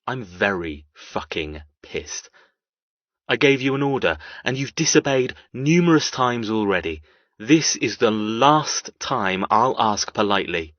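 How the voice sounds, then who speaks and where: A man playing a cold, controlling villain in an audiobook, speaking formally and with quiet, controlled threat. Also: lowish voice, sing-song, measured pace, no room sound, dull audio. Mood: quiet menace. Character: cold, menacing, controlling male villain and kidnapper. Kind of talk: audiobook character dialogue.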